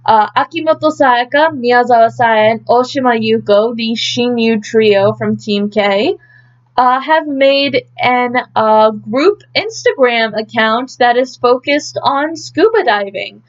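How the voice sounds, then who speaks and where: High-voiced woman giving a casual news recap. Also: slow pace, lo-fi sound. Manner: chatty, hesitant, thinking aloud. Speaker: host of an idol news update.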